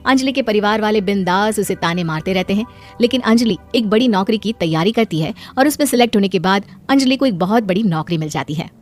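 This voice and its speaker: A woman's voice: medium pitch, lively intonation, a little fast, soft background music. A woman giving scripted narration of a moral story.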